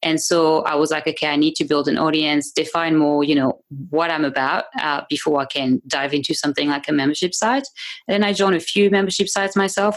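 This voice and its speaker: Low-voiced woman with a French accent. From France. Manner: chatty, laughs often, many fillers and restarts. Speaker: guest, membership business owner. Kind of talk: podcast interview.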